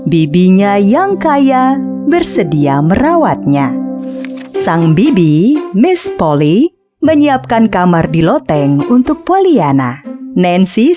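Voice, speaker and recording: Lively woman storyteller over music. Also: lowish voice. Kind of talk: scripted children's story narration.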